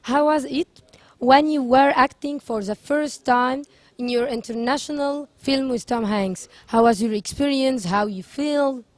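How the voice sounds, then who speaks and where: A woman's voice: high-pitched, measured pace, no room sound. A woman hosting an interview, giving a prepared introduction and asking questions. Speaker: interviewer, host.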